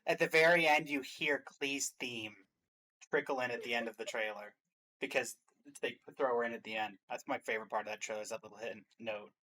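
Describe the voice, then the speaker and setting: A man hosting a casual reaction and ranking chat, opinionated, with emphatic swells that trail off quieter. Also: medium pitch, steady tone, brisk. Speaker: reaction show host.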